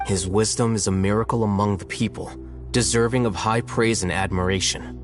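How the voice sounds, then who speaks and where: A man's voice: fairly low pitch, fairly even intonation, normal speed, faint music behind, natural voice. A man voicing a cool, aloof scholar. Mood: dry. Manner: dry, matter-of-fact. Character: calm, aloof male scholar. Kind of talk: video game dialogue.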